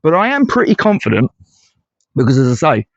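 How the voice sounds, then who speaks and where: A man's voice: on the high side, very animated, a little fast, no echo, lo-fi sound. A middle-aged man from England giving blunt, conversational solo commentary as a fan channel host, with frequent hesitant restarts.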